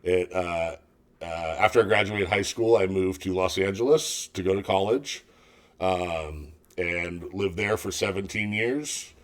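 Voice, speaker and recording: Low-voiced Californian man, dryly joking. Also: unhurried. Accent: Californian American. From San Jose, California, USA. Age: 40s. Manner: joking, dry self-deprecating humour. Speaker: podcast guest. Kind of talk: casual chat.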